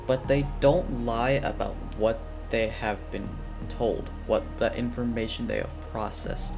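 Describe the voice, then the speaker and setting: A man giving casual game commentary, thinking aloud in a rambling, sweary way as a streamer. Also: mid-range voice.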